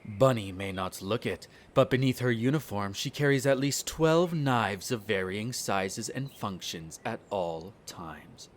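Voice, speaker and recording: A man's voice: lowish voice, expressive, unhurried, few pauses, a little hiss or hum. A man playing a smug, cruel vampire noble in an audio roleplay, speaking in a haughty, condescending, formal drawl. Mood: condescending, amused. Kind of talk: scripted audio roleplay acting.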